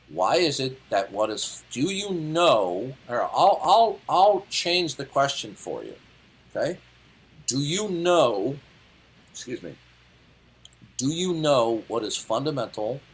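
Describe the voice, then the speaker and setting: Late-fifties male debater, domineering and interrupting, husky and swooping in pitch. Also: rough recording. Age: 50s. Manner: condescending, interrupting, lecturing. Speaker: debate caller. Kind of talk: heated debate.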